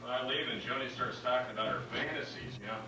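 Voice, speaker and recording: A man's voice: mid-range voice, quick pace, natural voice. A man playing a genial, experienced comedian who gives polite advice in a conversational staged reading. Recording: echoey room, distant, noisy.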